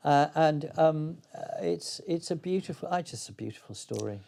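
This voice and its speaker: A man's voice: fairly high pitch, lively intonation, normal speed, vocal fry, warm tone. Thoughtful older man in conversation. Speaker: interview guest, playwright and actor. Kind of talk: reflective interview conversation.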